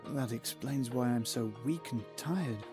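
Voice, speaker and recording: A man playing a weak, confused young wizard in a dark fantasy visual novel, hesitant and polite. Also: mid-range voice, measured pace, lightly acted. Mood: meek, weary. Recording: music behind the voice.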